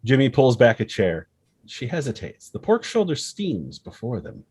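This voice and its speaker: A man's voice: mid-range voice, wildly swooping pitch, moderate pace, darkish tone, slightly rough, dry recording. A man reading stage directions as the narrator of a screenplay table read.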